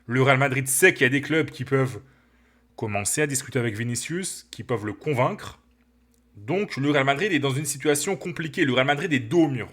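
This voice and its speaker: A man with a cold hosting a football channel, talking to viewers in an animated, opinionated, casual way from his living room. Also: medium pitch, a little fast. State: has a cold. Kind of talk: casual opinion commentary to camera.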